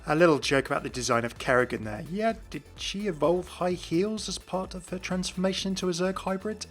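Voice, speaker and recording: A man's voice: high-pitched, fairly quick. A man giving scripted, explanatory commentary as the host of a gaming video. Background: background music.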